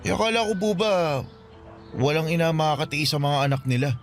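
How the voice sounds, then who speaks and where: A man's voice: a little high, expressive, fairly quick. A man playing a hurt teenage son, resentful and pleading, in a radio drama. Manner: pleading, resentful, polite to elders. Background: music.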